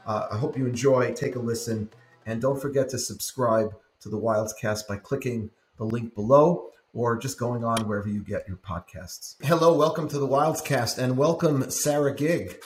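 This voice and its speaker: A man's voice: mid-range voice, moderate pace, reverberant. A man hosting a podcast interview, welcoming his guest with enthusiasm and restarting his sentences now and then. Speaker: podcast host, rabbi.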